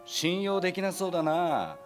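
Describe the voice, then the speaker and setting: A man's voice: sits high, very animated, fast talker, faint music behind. A man playing an intense, formal, distrustful young man in a stage drama. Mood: tense, formal.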